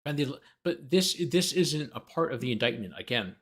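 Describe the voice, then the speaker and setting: A man's voice: mid-range voice, expressive, moderate pace, a bit bright, breathy edge. A man who hosts a livestream as a lawyer, reading a document aloud and breaking off into sarcastic, exasperated, argumentative commentary.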